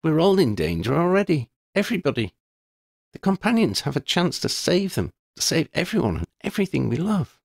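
A man's voice: a little high, sing-song, fairly quick, creaky, no room sound, studio quality, pitched above the performer's own voice, heavily acted. A man reading aloud and voicing a frank, protective teenage schoolgirl who speaks earnestly and reassuringly. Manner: raised put-on voice, earnest and reassuring.

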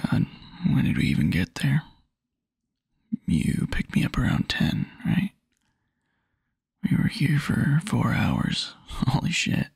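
A young boyfriend, acted by a man murmurs right up at the microphone in an intimate ASMR roleplay. His husky, breathy voice crackles with heavy fry and moves slowly. He sounds tender, wistful and quietly lovesick. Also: mid-range voice, big pitch swings, no echo, clean studio sound, exaggerated voice. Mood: amused, sleepy. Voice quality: breathy, husky, near-whisper. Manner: soft, halting, intimate murmur with small chuckles. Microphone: close microphone, dry.

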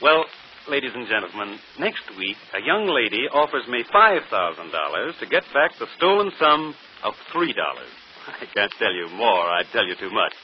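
A man's voice: fairly low pitch, big pitch swings, moderate pace. A man playing a brilliant, self-assured detective in 1940s radio drama style, articulate and theatrical. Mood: genial, presenting. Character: brilliant, self-assured male detective explaining his solution. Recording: muffled, noisy old radio broadcast.

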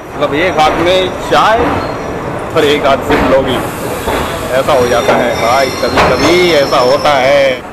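A young man chatting casually to viewers as a travel vlogger, talking into an action camera held at arm's length on an outdoor railway platform and in a train coach. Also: on the high side. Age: young adult. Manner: chatty, talks straight to viewers, many fillers. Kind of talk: casual vlog chat to camera. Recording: loud station and train noise.

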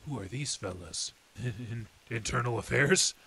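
A gravelly veteran detective. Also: male voice, mid-range voice, moderate pace, pushed and bright, heavily acted. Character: hardened veteran male city detective. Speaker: Detective Henry Reeves. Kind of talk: old time radio drama acting. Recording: hissy background noise.

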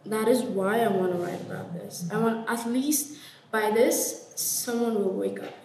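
A woman's voice: mid-range voice, measured pace, gravelly, a little mellow, roomy sound, far from the mic, a little hiss or hum, low-quality recording. A young woman from Ethiopia, a student journalist, answering earnestly and searching for her words in a classroom exercise. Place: classroom workshop, indoors.

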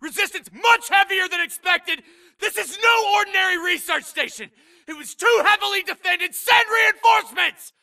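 Piercing, gravelly screaming soldier. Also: male voice, extremely high pitch, moderate pace, sharp tone, shouting, recorded from a distance, heavily acted. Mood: desperate. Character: frantic soldier screaming warnings on a battlefield. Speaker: video game voice actor. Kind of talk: shouted combat dialogue.

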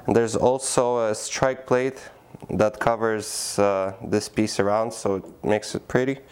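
Brief: male presenter, smooth clean voice, practical step-by-step product demo with casual fillers. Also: on the low side, steady tone, a little slow, darkish tone. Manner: plain, practical, many "uh" fillers. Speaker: product demonstrator. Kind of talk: how-to demonstration. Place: indoor room by a door.

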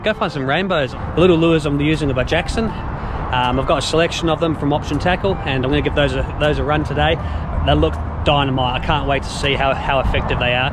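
Laid-back New Zealand fishing vlogger. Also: male voice, fairly high pitch, background noise. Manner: laid-back, slangy and enthusiastic. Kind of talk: casual outdoor vlog. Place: outdoors on a lake in a small kayak. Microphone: action camera mounted on the kayak.

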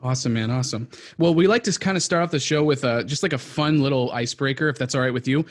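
A man's voice: medium pitch, fast. A young American man hosting a podcast in an upbeat, chatty style, speaking close to the microphone on a video call. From New England, USA. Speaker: podcast host. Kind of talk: upbeat podcast introduction and chat.

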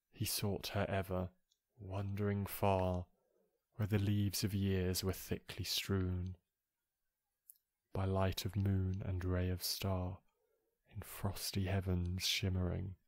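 A man with a soft voice reading poetry aloud in a measured, gentle, calming way. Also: low voice, no echo. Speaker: poetry reader. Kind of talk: slow, calm recited poetry reading for relaxation.

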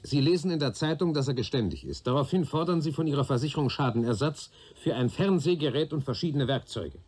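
An actor playing a shrewd, patient police investigator in a German radio play, probing and deliberate, with a dry sardonic authority. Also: male voice, fairly high pitch, expressive, a little mellow, breathy edge. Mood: stern, warning. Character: shrewd, patient male police detective questioning a young man.

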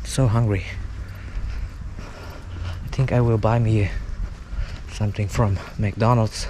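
A young man chatting casually in halting, simple phrases as he records a walking vlog. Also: fairly low pitch, fairly even intonation. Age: young adult. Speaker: walking vlogger. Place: outdoors, suburban street and park path. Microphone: action camera at arm's length, no windscreen. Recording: windy outdoor camera mic, street noise.

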